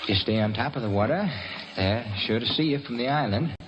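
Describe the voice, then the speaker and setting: An actor in an old radio drama playing a gritty young soldier piloting a primitive submarine, talking aloud to himself and urging himself on. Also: male voice, medium pitch, expressive, a little fast, natural voice. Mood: resolute, wry. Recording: muffled, noisy old radio recording.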